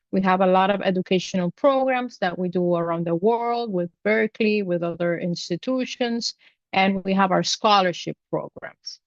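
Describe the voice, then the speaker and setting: A woman's voice: medium pitch, dry recording, dull audio. A woman hosting an online panel over a video call, introducing each speaker in turn. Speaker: panel host.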